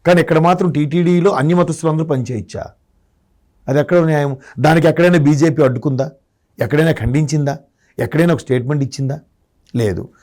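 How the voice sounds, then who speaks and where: A man's voice: on the high side, fast, a little mellow. Man arguing politics. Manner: argumentative, asks rhetorical questions. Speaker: political commentator. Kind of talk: political discussion.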